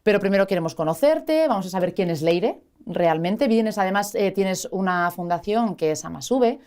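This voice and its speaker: Woman host, mid-range voice, chatty and anecdotal, relaxed studio interviewer. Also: medium pitch, normal speed, smooth. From Basque Country, Spain. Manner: chatty, anecdotal, many fillers and asides. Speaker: talk show host.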